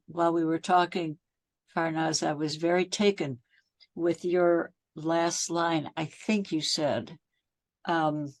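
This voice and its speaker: A woman's voice: low-pitched, dry recording. A woman from the audience joining a video call, earnest and reflective, recalling a quote. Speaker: audience member. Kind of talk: casual conversation.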